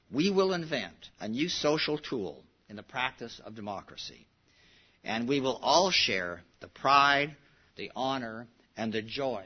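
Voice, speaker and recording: Man chairing an assembly, formal emphatic address, dull lo-fi sound. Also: medium pitch. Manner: earnest, emphatic, repeats for stress.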